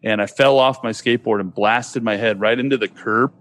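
A man's voice: on the low side, fairly even intonation, brisk, a bit bright. A man in his forties with a Californian accent, chatting casually and slangily as he tells stories. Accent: Californian American. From California, United States. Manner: slangy, says dude and bro. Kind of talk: casual chat, telling childhood stories.

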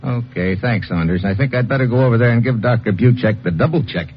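An actor playing a cool, hard-boiled American investigator, clipped and wry, in an old-time radio drama. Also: male voice, medium pitch, quick pace, slight background noise, natural voice. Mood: brisk. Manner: clipped, wry, matter-of-fact. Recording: dull lo-fi old radio recording.

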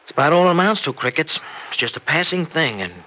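An actor playing an earnest, anxious young farmer husband in an old-time radio drama, with a halting, tender way of talking. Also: male voice, a little high, animated, bright, forceful tone. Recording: noisy vintage radio recording.

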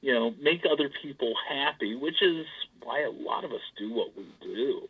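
A man, an author, chatting loudly and with lots of animation as an interview guest, laughing and acting out the voices in his stories. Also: medium pitch, normal speed, breathy edge, narrow, tinny audio. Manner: loud, animated storyteller, laughs often; acts out quoted voices. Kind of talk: casual interview chat.

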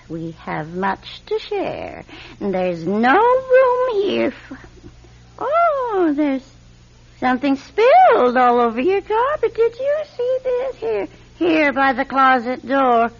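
An actress playing a nosy, prim, stubborn spinster neighbour in a radio drama, with fussy, affectedly genteel manners. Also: female voice, sits high, huge pitch swings, slow, full character voice. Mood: eager, prying. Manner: fussy, mannered, flustered stammer giving way to pointed formality. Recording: dull, lo-fi radio drama recording.

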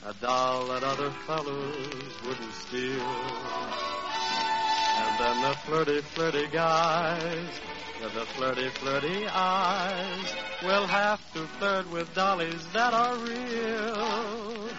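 A man's voice: on the high side, takes it slowly. A man singing a 1940s pop ballad in vocal harmony style with musical accompaniment. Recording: muffled old record with music.

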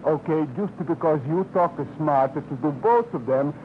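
A man's voice: fairly high pitch, a little slow, lightly acted. An actor playing a grateful old Italian immigrant father who speaks broken English with an Italian accent, in a 1950s radio drama. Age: older. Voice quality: broken English, excitable then soft. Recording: muffled, hissy old radio recording.

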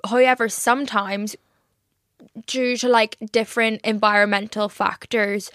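A woman's voice: medium pitch, fairly even intonation, measured pace, fairly bright tone, no room sound, clean studio sound. A young woman hosting a podcast in a casual chat, swearing freely and laughing often. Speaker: podcast host.

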